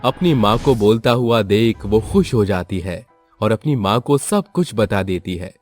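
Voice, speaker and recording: A man's voice: fairly low pitch, animated, measured pace, darkish tone. A man narrating a scripted moral story for children. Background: background music.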